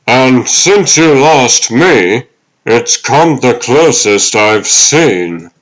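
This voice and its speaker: A man acts out an elderly butler's ghost in a comic drama, stern yet loving. He speaks very slowly and earnestly in a mid-pitched voice, weighing each phrase like a father to his son. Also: lively intonation, fairly bright tone, rough recording. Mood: solemn, caring. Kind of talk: comic dub dramatic monologue.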